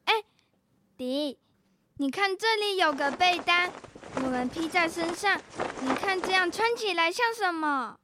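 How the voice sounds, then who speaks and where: A woman's voice: very high voice, expressive, a little slow, bright, forceful tone, a little hiss or hum, heavily acted. A young girl acting in a children's radio drama as a bossy, scheming big sister. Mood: coaxing. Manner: bossy, coaxing.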